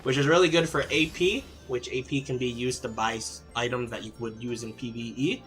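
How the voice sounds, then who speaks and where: A man's voice: mid-range voice, steady tone, brisk, a bit bright, faint music behind. A man streaming a game, answering chat questions casually with plenty of fillers and restarts. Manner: rambling, many fillers and restarts.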